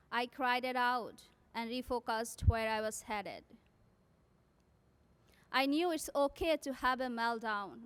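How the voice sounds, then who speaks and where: A woman's voice: high voice, fairly bright tone, clean tone. A woman from South Asia whose first language is not English, a graduating nursing student giving an earnest prepared commencement speech.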